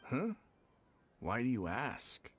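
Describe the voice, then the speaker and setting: Offhand, animated male gate worker. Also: medium pitch, slow, slight background noise. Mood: casual, wary. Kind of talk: video game voice acting.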